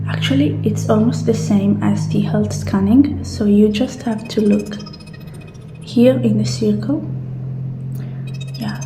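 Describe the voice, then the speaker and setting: A woman doing a soft, partly whispered ASMR roleplay as a gentle attendant walking a client through options. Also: fairly high pitch. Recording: roomy echo, faint background noise.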